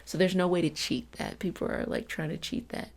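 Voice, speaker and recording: A woman's voice: on the low side, fairly quick, creaky. A woman giving an ASMR self-help talk in a soothing, reassuring, conversational coaching manner. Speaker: ASMR host and energy healing practitioner.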